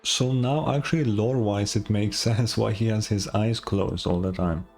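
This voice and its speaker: A man's voice: fairly low pitch, fairly even intonation, normal speed, a little mellow. A young man casually reacting to a game story as a streamer, laid-back with short interjections. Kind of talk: casual live reaction commentary. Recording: game music behind the voice.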